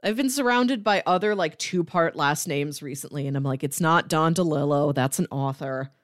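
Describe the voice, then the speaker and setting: A woman's voice: sits low, normal speed, no echo, studio quality. A woman co-hosting a podcast, chatting casually about books and acting out bits as she goes. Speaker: podcast co-host discussing comics. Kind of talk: casual chat, book review.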